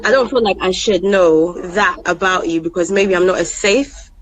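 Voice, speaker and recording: A woman with a slightly hoarse voice co-hosting a casual live chat, candid and blunt, with fillers and small laughs. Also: fairly low pitch, moderate pace, low-quality recording. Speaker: co-host.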